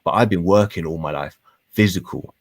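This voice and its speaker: Deep-voiced British man arguing insistently. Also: dry recording. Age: 30s. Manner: repetitive, halting, insistent, sweary slang. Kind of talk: heated argumentative debate.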